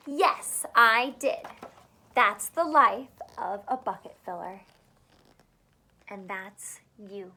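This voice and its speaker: A woman's voice: mid-range voice, animated, slow pace, long pauses, sharp tone. A woman teacher reading a picture book aloud to children, adding encouraging asides and questions.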